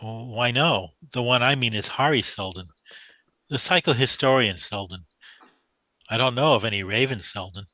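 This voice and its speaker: A man's voice: mid-range voice, moderate pace, bright, forceful tone, no room sound, close to an everyday voice, rough recording. A man acting in a radio drama as a polite, earnest young mathematician, naive and hesitant. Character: polite, earnest young male mathematician new to the big city.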